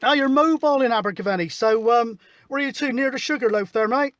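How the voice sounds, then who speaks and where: A man's voice: very high voice, fast talker, fairly bright tone, slight background noise. A man chatting casually and matily as he runs a CB radio session. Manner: informal, matey. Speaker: CB radio operator, host. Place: outdoors at a hilltop spot, mobile radio setup.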